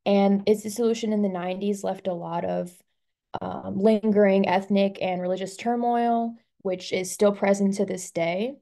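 Young woman, student presenter, from Albania, warm relaxed voice, casual um-filled webinar talk, video call. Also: mid-range voice, normal speed, gravelly. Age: young adult.

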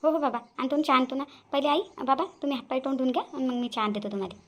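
A woman's voice: sits high, very fast. A woman voicing an eager, dutiful young mother in a cartoon family drama, with a Vidarbha Marathi accent. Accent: Vidarbha Marathi (Varhadi).

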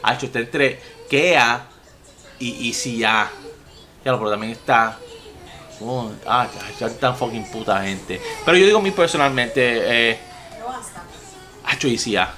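A man's voice: mid-range voice, measured pace, long pauses. A young man with a Puerto Rican accent hosting a casual music reaction vlog from his room at home, talking with big gestures and a lot of swearing. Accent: Puerto Rican Spanish. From Puerto Rico. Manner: animated, profane, gestures a lot while talking. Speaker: music reaction video host. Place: home room with TVs and gaming gear, indoors.

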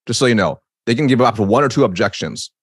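Man in his 30s, sales trainer on a video call; rapid, emphatic delivery with an occasional stutter, close studio mic, dry sound. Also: mid-range voice, slightly rough, no room sound. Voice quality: stutters at times. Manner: energetic, emphatic, gestures while talking. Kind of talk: webinar teaching. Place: home office with bookshelves. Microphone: studio mic on boom arm, video call.

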